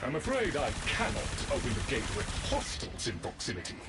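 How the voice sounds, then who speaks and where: A man's voice: mid-range voice, wildly swooping pitch, quick pace. A man playing a polite, fussy servant in a video game, with a formal, apologetic way of speaking. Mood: polite, anxious. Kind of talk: video game character acting. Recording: loud game battle noise behind.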